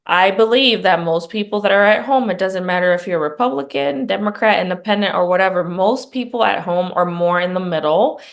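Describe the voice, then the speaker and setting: A middle-aged woman from Puerto Rico, a congressional candidate speaking in an interview, earnest and conversational with frequent ums and restarts. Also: on the low side. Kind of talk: campaign interview.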